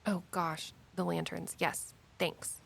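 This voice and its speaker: A woman's voice: fairly low pitch, flat delivery, slow, gravelly, a bit bright, heavily acted. A woman playing a breezy, mystical young shop worker and daughter, talking casually in an audio drama. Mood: mildly exasperated.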